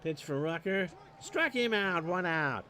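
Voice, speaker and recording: A man calls a replayed baseball game on his own, in a high voice and at an easy, unhurried pace. He works through stats with dry little asides and turns loud and excited when the ball is in play. Faint street noise hums behind him. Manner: stat-heavy, dry asides, rising excitement on big plays. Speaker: play-by-play announcer. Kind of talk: solo replay commentary streamed live.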